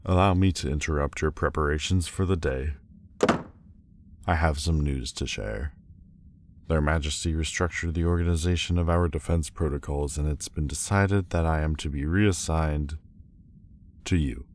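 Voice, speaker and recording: A man playing a proud demon lord in a roleplay audio drama, speaking formally and haltingly, guarded but vulnerable underneath. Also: a bass voice, fairly even intonation. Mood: stiff, reluctant. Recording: faint background noise and ambience. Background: faint ambient sound effects.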